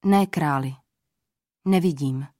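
A woman voicing a humble, wise blind old ferryman in his seventies, speaking slowly and quietly in a storybook reading. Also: sits low, no echo, studio quality, pitched below the performer's own voice, natural voice. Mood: solemn. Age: 70s. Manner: slow, quiet, earnest.

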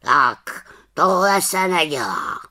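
A woman voicing a wise, stern male raven in an audiobook, speaking slowly and scolding. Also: lowish voice, lively intonation, creaky, pushed and bright, husky, voice pushed up, heavily acted. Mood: reproachful. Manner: slow, scolding and preachy. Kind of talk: audiobook character reading.

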